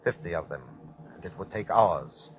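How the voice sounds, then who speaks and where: A ruthless German army captain in an old radio play. He declaims and commands in clipped, fanatical style. The recording is dull and low in fidelity, as vintage broadcasts are. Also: expressive, moderate pace, crowd noise. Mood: cold, reporting. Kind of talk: old-time radio drama acting.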